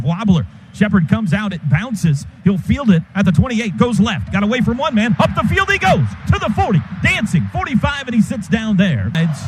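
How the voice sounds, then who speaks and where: A man doing live play-by-play radio commentary of a football game from a stadium booth, in rapid clipped calls that surge on big plays. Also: high voice, a little mellow. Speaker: play-by-play announcer. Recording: noisy live stadium broadcast.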